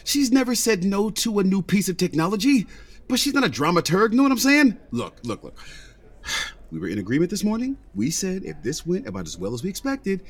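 Sardonic producer, swooping pitch. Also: male voice, huge pitch swings, a little fast, breathy edge, full character voice. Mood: scheming, exasperated. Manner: dry, sarcastic, emphatic. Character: sardonic, scheming, domineering male theatre producer. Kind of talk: audio comedy drama acting.

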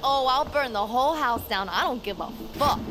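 Brash, high-voiced, volatile girlfriend played by an actress. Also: normal speed, background noise, lightly acted. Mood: brazen. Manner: blunt, mocking, bossy. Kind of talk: audio drama acting.

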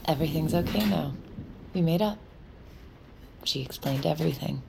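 An actress playing a troubled, secretive woman in an audio drama, confiding and restless, with a low, creaky voice. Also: moderate pace, background noise. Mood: guarded. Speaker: lead actor and first-person narrator. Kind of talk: audio drama acting with inner monologue.

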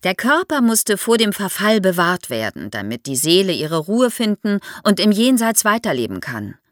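A woman's voice: medium pitch, very animated, normal speed, no room sound, studio quality. A woman explains history to young listeners as an expert guest, in a didactic way, posing questions as she goes.

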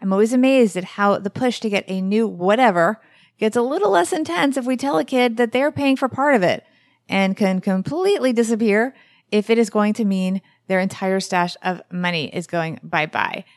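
A woman's voice: medium pitch, normal speed, no room sound, studio quality. A woman in her forties hosting a podcast, chatting casually and giving upbeat wrap-ups. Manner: upbeat, plugging and summing up. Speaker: podcast host.

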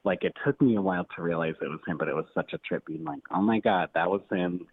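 A man's voice: deep voice, fast talker, breathy edge, dry recording. A man calling in as a podcast guest over the phone, casually telling a personal story in an easygoing way. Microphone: phone call. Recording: tinny narrowband phone line.

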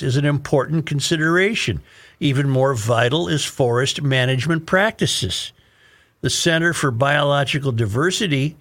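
A man's voice: fairly high pitch, fairly bright tone. An American man hosting a talk show, reading an article aloud and adding emphatic, opinionated commentary with repeated phrases.

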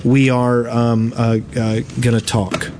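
A man's voice: medium pitch, flat delivery, slow pace, noisy background. A man hosting a live podcast at a convention, playful and hesitant, often restarting his sentences. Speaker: podcast host. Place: convention floor.

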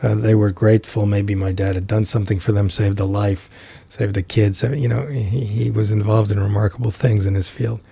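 A middle-aged man guesting on a podcast, telling a reflective story in an unhurried, thoughtful way with many fillers and restarts. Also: on the low side, fairly even intonation, gravelly, dark tone, husky, no echo. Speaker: podcast guest, tai chi teacher.

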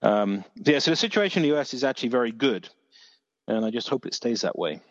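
A man's voice: mid-range voice, expressive, fast talker, no echo, long reverb tail, muffled recording. A man with a Dorset accent telling stories in a casual chat, rambling with many restarts and the odd swear word. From England.

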